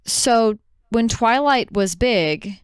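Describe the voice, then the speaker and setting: Very smooth, clear-voiced woman podcast host. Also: fairly high pitch, animated, drawn-out words, no room sound, clean studio sound. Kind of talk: casual podcast discussion.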